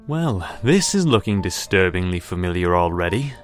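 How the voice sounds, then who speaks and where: Man acting a sardonic, animated hothead. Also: deep voice, moderate pace. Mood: scornful. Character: scornful, sarcastic, hot-headed man. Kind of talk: dramatic comic dub acting. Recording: voice over music.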